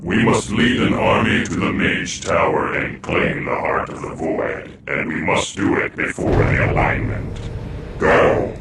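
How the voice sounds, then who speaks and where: An ancient, menacing overlord of an underground realm, speaks in a very deep voice thick with gravel. Every phrase is slow and imperious, in formal fantasy-game diction, with a heavy echo around it. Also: male voice, background noise. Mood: commanding. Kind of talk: theatrical fantasy game cutscene acting.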